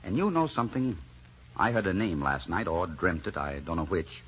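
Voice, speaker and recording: Stubborn, ardent hero, swooping delivery. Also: male voice, sits low, big pitch swings, moderate pace, a bit bright, slightly rough, exaggerated voice. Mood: determined. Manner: dramatic, emphatic, insistent. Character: stubborn, ardent, protective male romantic hero. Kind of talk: old-time radio drama acting. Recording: dull, noisy old radio recording.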